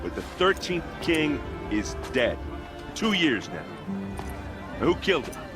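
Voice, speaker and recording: A man's voice: a little high, very animated, unhurried. An actor playing a blunt, plainspoken adult man from a foreign tribe, addressing a crowd in a colloquial, earnest way. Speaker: envoy speaking to a crowd. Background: game music.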